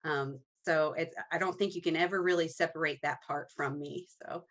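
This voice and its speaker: Low-voiced earnest woman. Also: fairly even intonation, moderate pace, fairly bright tone. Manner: earnest, heartfelt. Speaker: teacher and agency co-owner, guest. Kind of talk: casual interview answers.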